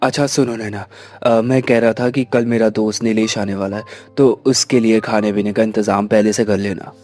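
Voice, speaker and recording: A man voicing easygoing, appreciative Indian family men in an animated story, chatty and hearty. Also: lowish voice, moderate pace, soft background music, close to an everyday voice. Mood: pleased, curious. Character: easygoing, appreciative Indian husband and his friendly pal.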